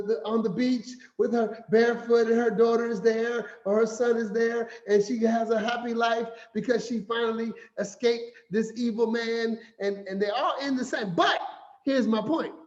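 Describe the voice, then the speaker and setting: A man giving a finance talk as an animated, playful storyteller who acts out quotes. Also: extremely high pitch. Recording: echoey room, slightly dull sound.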